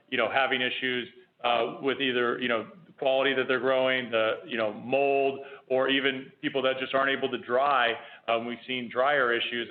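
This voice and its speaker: A man speaking as a company executive on a conference call, explaining business in a measured way over the phone. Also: medium pitch, slight background noise. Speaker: company executive answering analysts. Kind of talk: earnings conference call answers. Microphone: telephone. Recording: tinny phone conference line.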